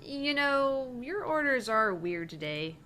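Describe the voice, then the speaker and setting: A woman's voice: high-pitched, sing-song, slow pace, sharp tone, a little hiss or hum. A young woman hosting a visual novel let's play, reading dialogue aloud and chatting in a casual, joking way. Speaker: gaming channel host.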